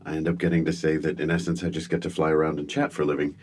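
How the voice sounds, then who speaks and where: A man's voice: very deep voice, level tone, very fast, a little mellow. A middle-aged man, born in the UK to American parents, telling self-deprecating stories as a guest in an interview. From born in the United Kingdom to American parents. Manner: self-deprecating, wry storytelling with frequent restarts. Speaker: guest speaker and comedian. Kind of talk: relaxed storytelling interview.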